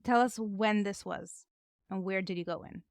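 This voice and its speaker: A woman's voice: mid-range voice, normal speed, dry recording, clean studio sound. A woman hosting a podcast interview, chatty and informal, with asides to listeners. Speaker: podcast host. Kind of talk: podcast interview, intro and questions.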